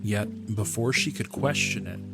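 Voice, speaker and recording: A man's voice: on the low side, a little slow. A man reading scripted story narration for an audio drama, in the manner of an audiobook. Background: background music.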